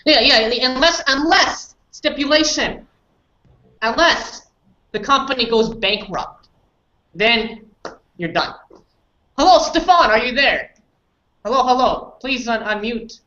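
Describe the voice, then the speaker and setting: Bright-voiced Canadian woman teaching, roomy sound. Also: on the high side, animated, slow, pauses often. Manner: repeats and rephrases simply for learners. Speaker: English conversation teacher. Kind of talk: informal online lesson talk. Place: indoors on an online group call. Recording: dull roomy online call audio.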